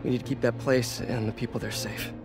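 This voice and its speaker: A man's voice: mid-range voice, flat delivery, quick pace, over music, lightly acted. A man voicing an earnest young American superhero who leads his team in a video game cutscene. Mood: determined. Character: young male superhero, earnest, quick-witted team leader.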